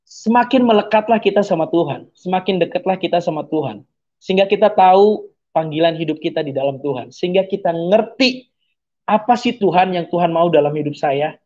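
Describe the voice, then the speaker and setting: Young guy preaching on a podcast, animated, keeps asking ya kan, kinda muffled lo-fi audio. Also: on the high side. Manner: preachy, animated, punctuates with rhetorical tags. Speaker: podcast guest giving testimony. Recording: dull lo-fi sound.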